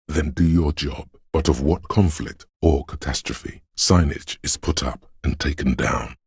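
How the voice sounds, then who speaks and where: An actor voicing a brooding, poetic inner sense of a haunted city in solemn, measured, atmospheric game narration, very deep and gravelly. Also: male voice, no room sound, studio quality, heavily acted. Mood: solemn, eerie.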